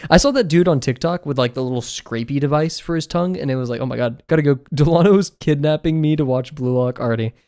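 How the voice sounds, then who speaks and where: A man's voice: on the high side, quick pace, few pauses, a little mellow. A young man chatting casually on a live stream while he draws, rambling and joking about himself, in an apartment room fitted with acoustic foam. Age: young adult. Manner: chatty, rambling, self-deprecating, laughs often.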